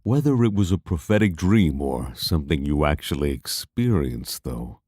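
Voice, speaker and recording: Lucas, a low-voiced cautious adventurer acted by a man. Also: animated, normal speed, mellow, no room sound. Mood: cautious, thoughtful. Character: Lucas, cautious, thoughtful young male fantasy adventurer. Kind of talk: fantasy audio drama acting.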